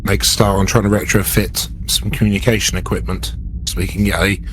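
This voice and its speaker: A man's voice: sits low, little pitch movement, brisk, a bit bright, a little hiss or hum, rough recording. A man playing a tabletop game, casually and tentatively thinking aloud as a player.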